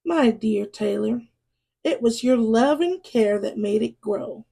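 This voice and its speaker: A woman playing a kindly, wise old grandmother turkey in a children's storybook, gentle and measured. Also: a little high, expressive, gravelly, husky, heavily acted. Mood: tender, wise.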